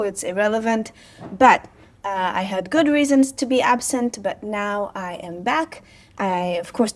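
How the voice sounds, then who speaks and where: A woman's voice: a little high, a little mellow. A woman, chatting casually on her vlog with sarcastic asides and frequent fillers. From Israel. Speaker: vlogger, personal trainer.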